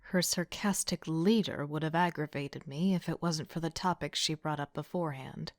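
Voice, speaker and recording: A woman playing a young team leader who narrates an audio drama in a reflective, softly breathy, introspective way. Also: low voice, moderate pace, dry recording, studio quality, lightly acted.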